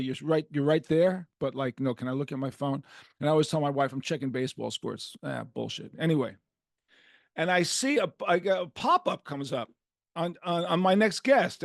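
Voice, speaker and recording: An older New York man hosts a podcast in a casual, rambling way, slipping into animated voices when he quotes people. His voice is gravelly and sits fairly high for a man. The sound is dry and close to the mic. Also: moderate pace, dry recording. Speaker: podcast host.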